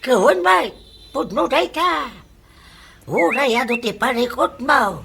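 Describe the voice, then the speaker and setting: A woman playing a flattering older neighbour in a radio comedy, teasing in a drawling Ilocano accent. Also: high voice, slow pace, creaky, a little hiss or hum, exaggerated voice. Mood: flattering, amused. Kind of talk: comedy radio drama acting.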